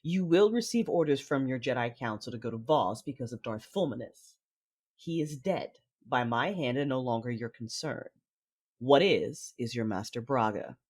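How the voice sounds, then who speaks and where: Sith lady female actor: husky, imperious, guarded, calculating; audio drama villainess. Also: a bass voice, animated, moderate pace, a little mellow, full character voice. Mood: commanding. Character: cold, calculating Sith lady, estranged wife and sister. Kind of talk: audio drama acting with first-person narration.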